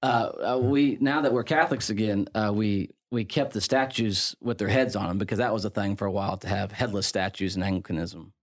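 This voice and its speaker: A middle-aged man with an English Midlands accent, a priest explaining things in relaxed conversation, full of fillers and restarts. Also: on the low side, brisk, hardly any pauses. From England. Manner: chatty, many fillers and restarts, laughs easily. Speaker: priest guest.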